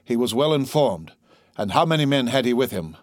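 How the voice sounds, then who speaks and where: A man's voice: mid-range voice, big pitch swings, brisk, no room sound, clean studio sound, close to an everyday voice. A man voicing an indignant, imperious aging king in a storybook reading. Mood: commanding. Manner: commanding, sharp, sarcastic.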